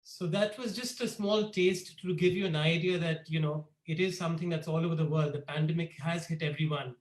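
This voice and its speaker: A man's voice: a little high, brisk. Man presenting in an echoey room. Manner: relaxed, many fillers. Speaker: startup co-founder presenting. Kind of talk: webinar presentation.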